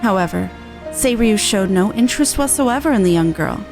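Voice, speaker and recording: A woman voicing a courteous, formal storyteller lady who recites old legends in a measured, archaic manner for a fantasy game. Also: medium pitch, lively intonation, over music, natural voice. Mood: solemn, reverent.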